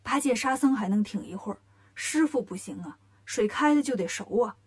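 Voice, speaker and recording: A cocky male monkey king, voiced by a woman storyteller. He is quick-witted and bossy, talking in brisk, colloquial phrases as the hero of a children's storybook. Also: fairly high pitch, lively intonation. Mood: worried.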